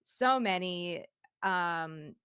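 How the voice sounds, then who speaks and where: Brief: woman, lowish voice, giggly chatty streamer, dry close mic. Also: lively intonation, extremely slow, fairly bright tone, smooth, no room sound. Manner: giggly, chatty, laughs often. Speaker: VTuber guest. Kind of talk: casual livestream demo chat. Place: home streaming setup. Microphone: streaming microphone.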